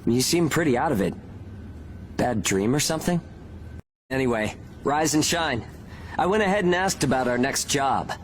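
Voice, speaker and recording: An actor playing a calm, earnest and determined young male monster-hunting soldier in a video game cutscene. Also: medium pitch, moderate pace, long pauses, slight background noise, close to an everyday voice. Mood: calm, casual. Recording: music behind the voice.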